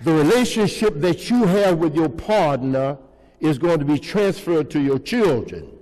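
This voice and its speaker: Older pastor preaching slowly in a church. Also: male voice, fairly high pitch, expressive, a little mellow. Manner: preaching with call-and-response questions to the congregation. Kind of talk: sermon. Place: large church sanctuary, indoors. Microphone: pulpit microphone. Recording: long reverb of a church hall.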